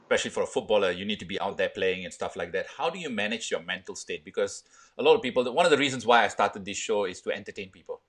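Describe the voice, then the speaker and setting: Chatty lower-voiced podcast host, a man. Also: rapid-fire, a bit bright. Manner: chatty, joking, rambling questions. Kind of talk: casual sports interview.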